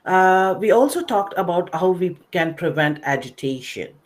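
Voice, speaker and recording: A woman presenting a slide-based nursing lecture, explaining in short phrases with pauses in a didactic way. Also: fairly low pitch, expressive. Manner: didactic, recapping, pausing between short phrases. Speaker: webinar presenter, nursing educator.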